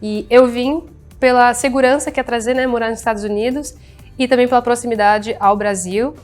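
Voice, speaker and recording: A woman's voice: a little high, fairly even intonation, quick pace, faint music behind. A woman from São Paulo, Brazil, with a São Paulo accent, giving an upbeat, friendly video introduction.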